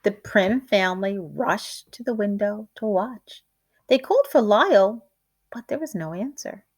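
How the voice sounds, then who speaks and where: A woman reading a children's picture book aloud like a teacher, in a deliberate, expressive storytelling way. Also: medium pitch, breathy edge.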